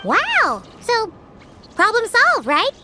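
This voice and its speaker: A woman voicing a tiny chatty childlike companion girl in a video game, squeaky, expressive and eager. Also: slow, loud music behind the voice, heavily acted. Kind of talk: video game character voice acting.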